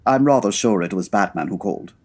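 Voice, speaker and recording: A man's voice: lowish voice, fast talker, darkish tone, lightly acted. A man playing a formal, discreet butler in an audio drama, polite and very proper. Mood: prim. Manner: polite, proper, hesitant over slang.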